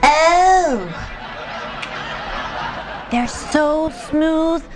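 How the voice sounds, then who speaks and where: A woman's voice: high-pitched, very slow, noisy background. A woman playing a perky, gushing co-host of a futuristic TV race show, with theatrical, exaggerated enthusiasm. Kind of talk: theatrical game show presenting.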